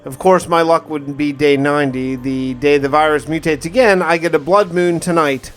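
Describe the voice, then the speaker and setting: A man narrating gameplay as a dramatic first-person survival diary, in an emphatic, theatrical way with drawn-out words. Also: a little high, clean tone, soft background music. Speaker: gaming video host.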